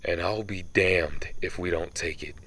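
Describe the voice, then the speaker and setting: A man playing a centuries-old vampire hunter in an ASMR audio roleplay, somber, guilt-ridden and resolute, confessing haltingly. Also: low-pitched, fairly even intonation, fairly bright tone. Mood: urgent, resolute.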